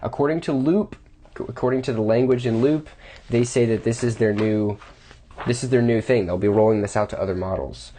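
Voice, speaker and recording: A man's voice: mid-range voice, a little fast, warm tone, slight background noise. A man reviewing watches casually, thinking aloud with restarts, his voice a bit off from a cold.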